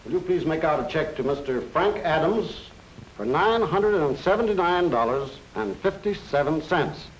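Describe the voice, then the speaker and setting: An actor playing a courteous, methodical small-town bank loan officer in a 1940s educational film, speaking in a formal, measured, businesslike way. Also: male voice, fairly high pitch, animated. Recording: noisy 1940s film soundtrack.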